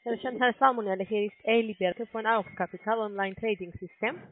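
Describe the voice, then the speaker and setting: A man's voice: high voice, steady tone, a little fast. A man making a sales phone call as a telemarketer. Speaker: telemarketing caller. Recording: tinny phone line.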